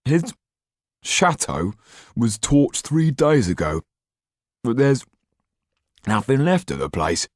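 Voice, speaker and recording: A man's voice: lowish voice, lively intonation, unhurried, a little mellow, no echo, studio quality, pitched above the performer's own voice. A man voicing a greedy, grumbling, colloquial informant in a historical audiobook. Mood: gossiping. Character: big-headed, greedy, grumbling young man, a lowly informant.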